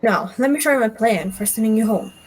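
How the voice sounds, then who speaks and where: Woman reading as an eccentric old male inventor, high-pitched. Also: a little fast, slightly rough. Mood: explaining eagerly. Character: eccentric elderly male inventor, earnest and excitable. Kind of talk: film script table read.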